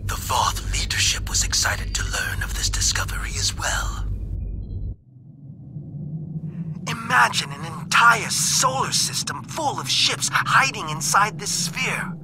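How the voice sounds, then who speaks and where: An actor playing an anxious, principled alien scientist in a video game, speaking in an earnest, measured, confiding way. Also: male voice, high voice, sing-song, creaky, exaggerated voice. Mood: urgent, alarmed. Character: anxious male alien scientist, a principled dissident leaking secrets. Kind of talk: scripted game voice acting, recorded message monologue. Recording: music behind the voice. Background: music.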